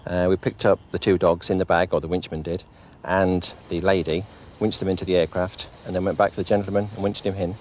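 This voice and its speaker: A rescue crewman recounts events matter-of-factly. His voice is deep and very even, with little movement in pitch. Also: fairly quick, slight background noise. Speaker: helicopter rescue crew member. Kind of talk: news interview recollection.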